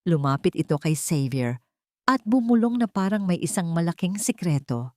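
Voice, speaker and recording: Low-voiced woman narrating a dramatic love story. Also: very animated, normal speed, warm tone, no echo, clean studio sound.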